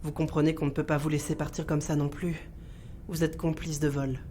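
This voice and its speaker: An actress playing a police investigator in an audio drama, speaking naturally and directly in conversation. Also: female voice, deep voice, little pitch movement, brisk, warm tone, slight background noise, lightly acted. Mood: gentle reassurance. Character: reassuring, weary female police investigator.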